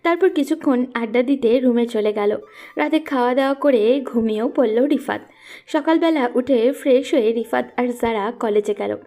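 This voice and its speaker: A woman's voice: on the high side, moderate pace, dark tone. A woman narrating a scripted romantic audio story.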